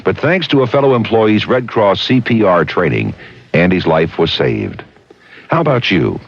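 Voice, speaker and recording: Deep, husky male radio announcer. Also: big pitch swings, moderate pace. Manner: direct, persuasive. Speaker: public service announcer. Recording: dull old radio broadcast recording.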